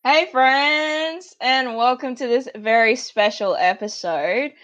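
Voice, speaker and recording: A woman's voice: high-pitched, takes it slowly, fairly bright tone, dull audio. A young Australian woman hosting a podcast in an upbeat, giggly, chatty manner. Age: young adult. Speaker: podcast host. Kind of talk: casual podcast chat. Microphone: remote call audio.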